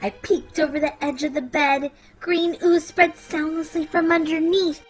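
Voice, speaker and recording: A woman reading a children's picture book aloud, playful and expressive. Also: sits high, unhurried, faint music behind. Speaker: children's story reader. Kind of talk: read-aloud storytelling.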